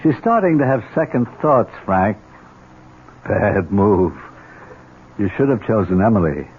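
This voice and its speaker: Cynical, insinuating older uncle for 1970s radio play; lowish, animated delivery, thin noisy sound. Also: male voice. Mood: sly, taunting. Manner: deliberate, insinuating, taunting. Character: Uncle Harry, sly, needling, cynical older relative. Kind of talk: 1970s radio drama acting. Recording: tinny, noisy old radio broadcast.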